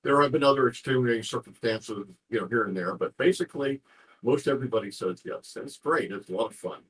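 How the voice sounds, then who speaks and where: Man, husky voice, mid pitch, informal interview guest, rambling explanations, repeated phrases. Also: expressive, fast talker, low-quality recording. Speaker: interview guest, ham radio contest organizer.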